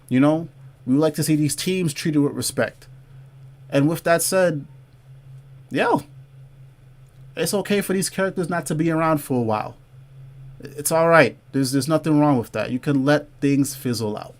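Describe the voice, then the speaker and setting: A man hosting a casual comics livestream, talking loosely to his chat, reading out viewer comments and repeating phrases to drive a point home. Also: a little high. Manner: says y'all and man, asks viewers to comment below. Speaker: comic book livestream host.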